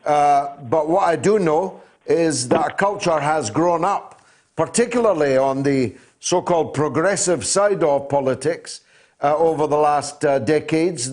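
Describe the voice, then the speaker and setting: A man hosting a call-in radio show, giving emphatic opinions with measured, deliberate pauses. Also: fairly high pitch, darkish tone. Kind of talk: opinionated talk radio commentary.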